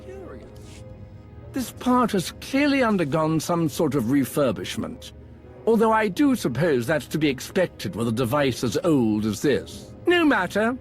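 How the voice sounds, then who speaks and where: An actor playing an eager, fretful, well-mannered scholar in a video game, speaking in wordy, bookish phrases. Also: male voice, on the high side, wildly swooping pitch, a little slow, faint music behind. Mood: curious, reassuring. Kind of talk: video game cutscene acting.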